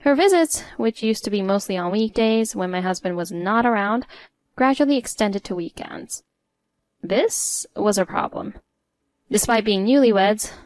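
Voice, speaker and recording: A woman narrates a scripted personal story at a medium pitch. Her delivery is measured and articulate, and the recording is very dry with no room sound. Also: dry recording.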